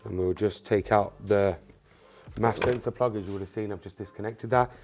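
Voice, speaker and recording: A man's voice: lowish voice, fairly even intonation, normal speed, crowd noise. A man whose first language is Spanish, hosting a hands-on car repair tutorial, talking casually to viewers with frequent ums and obviouslys. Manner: casual, repeats filler words like "um" and "obviously"; addresses viewers directly as "you guys" while working. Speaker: car mechanic channel host.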